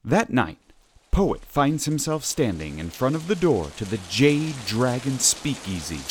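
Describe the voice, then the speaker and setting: Animated old-time radio announcer. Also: male voice, mid-range voice, a little slow, husky. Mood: suspenseful. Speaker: narrator. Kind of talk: 1930s radio narration.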